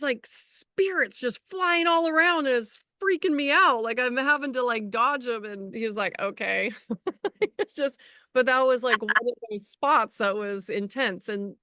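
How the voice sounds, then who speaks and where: A woman's voice: a little high, unhurried, pushed and bright, no room sound, studio quality. A middle-aged woman co-hosting a spiritual talk show, telling stories in a casual, rambling way. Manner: rambling, anecdotal storytelling. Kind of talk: casual conversational chat.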